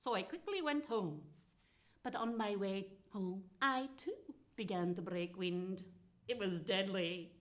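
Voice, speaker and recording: A woman's voice: mid-range voice, a little slow, close to an everyday voice. A woman playing a spiteful, gleeful, foul-mouthed witch who tells comic fairy tales in a theatrical, cackling manner. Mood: gleeful, mischievous. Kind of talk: comic storytelling monologue.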